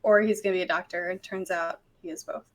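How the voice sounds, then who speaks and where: A woman's voice: lowish voice, steady tone, quick pace, noisy background. A woman speaking as a podcast guest, sharing stories in casual conversation. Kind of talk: casual chat, storytelling.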